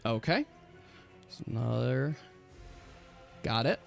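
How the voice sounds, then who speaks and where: A man's voice: medium pitch, lively intonation, takes it slowly, vocal fry, soft background music. A man speaking as game master, switching between casual rules talk and descriptive narration of a tabletop role-playing game.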